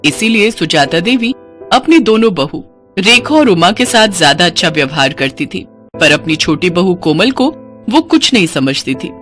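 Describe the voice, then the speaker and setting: A woman's voice: lowish voice, lively intonation, normal speed, a bit bright, faint music behind. A woman storyteller reading scripted narration of a family moral story.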